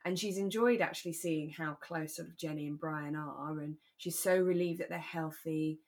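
A woman with a fairly low voice chats as a podcast co-host. She is reflective and agreeable, keeping the discussion relaxed and conversational. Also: moderate pace. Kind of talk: relaxed discussion of a radio drama.

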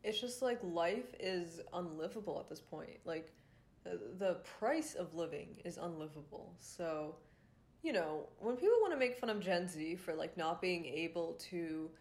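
A woman's voice: fairly low pitch, animated, measured pace, a little mellow. Congested young woman ranting on her podcast. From Rhode Island. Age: young adult. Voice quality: nasal, congested. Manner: frustrated, swears often, many fillers. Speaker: podcast host. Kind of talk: casual ranting monologue.

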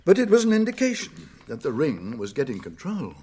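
A British actor playing a wise, kindly old wizard in a radio drama, speaking gravely and deliberately with thoughtful hums. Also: male voice, on the high side, wildly swooping pitch, warm tone, exaggerated voice. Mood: grave, ominous. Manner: deliberate, pausing, murmuring hums and chuckles.

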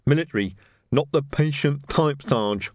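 A man voicing a hurried, deferential underling of the city watch in an audiobook, speaking colloquially. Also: on the high side, a little mellow, no room sound, studio quality, lightly acted. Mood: urgent. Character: hurried male watch underling reporting to his sergeant.

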